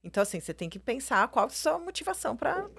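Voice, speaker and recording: A woman's voice: high voice, big pitch swings, fast, gravelly, fairly bright tone, slightly rough. A Brazilian Portuguese-speaking woman, a plastic surgeon, explaining her views in a casual interview, full of fillers and tag questions. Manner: explanatory, frequent filler words, tags questions with "né" and "entendeu".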